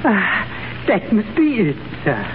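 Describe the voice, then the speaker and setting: A man's voice: sits high, sing-song, takes it slowly, narrow, tinny audio, music in the background, exaggerated voice. An actor playing a timid, flustered male valet who stammers, heard on a 1930s radio broadcast.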